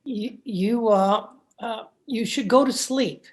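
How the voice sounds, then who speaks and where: A woman's voice: on the high side, takes it slowly. A woman playing a timid, henpecked husband who stammers and hesitates, in old time radio horror style. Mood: evasive, uneasy. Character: timid, henpecked, frightened husband, a meek little man. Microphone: video call.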